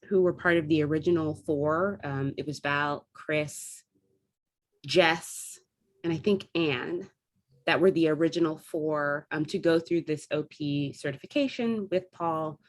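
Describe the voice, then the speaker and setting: A woman chatting casually as an interview guest, explaining things in a talkative way and thinking aloud as she goes. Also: sits low. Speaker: guest, theatre artist and classical actor.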